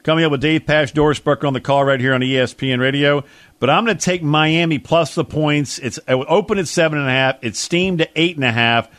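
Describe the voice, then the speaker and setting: Slightly high male sports co-host, dry sound. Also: fairly quick, fairly bright tone, no room sound. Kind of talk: casual sports chat.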